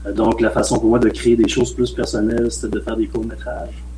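A man in his late forties with a Québécois French accent, a film director talking in a relaxed, rambling way as a podcast guest. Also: on the low side, fast, slight background noise, rough recording. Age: late 40s. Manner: relaxed, rambling, frequent "euh" and "mettons". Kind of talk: casual podcast interview.

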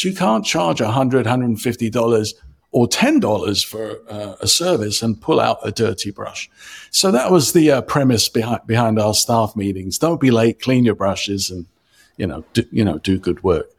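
A man, speaking with a gravelly edge. He talks as a podcast guest in a relaxed, friendly way and often pauses on "uh.". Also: medium pitch. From Cyprus, raised in London. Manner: friendly and relaxed, with frequent "uh" fillers. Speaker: hairdresser and coach, podcast guest. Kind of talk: casual interview chat.

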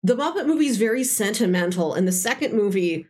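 A woman's voice: medium pitch, animated, fast talker, darkish tone. A woman hosting a podcast, chatting casually and effusively recommending films she loves. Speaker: podcast host. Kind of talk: casual film discussion chat.

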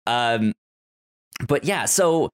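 A man co-hosting a podcast in casual TV recap chat, excitable and often stammering over repeated words. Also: mid-range voice, very slow. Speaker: podcast co-host.

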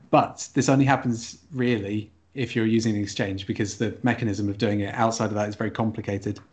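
A man co-hosting a podcast, explaining things in a careful, conversational and measured way. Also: medium pitch, darkish tone. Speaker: podcast co-host.

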